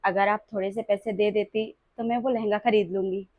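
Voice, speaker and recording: A woman voicing a gentle young daughter-in-law in a family drama skit, polite and soft-spoken. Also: medium pitch, steady tone, normal speed, no echo, phone-quality sound, lightly acted. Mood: meek.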